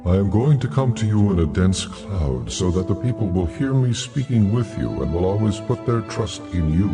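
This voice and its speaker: A majestic deity speaks over prominent music in a scripture drama. The voice is very low, solemn and commanding, delivering each pronouncement with authority. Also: male voice, lively intonation, normal speed.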